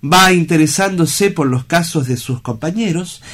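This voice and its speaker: A man's voice: a little high, moderate pace, a bit bright. A man playing an earnest, lyrical sanatorium director in a radio play, speaking in a solemn, eloquent, declamatory way. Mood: fervent, lyrical. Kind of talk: theatrical radio play acting.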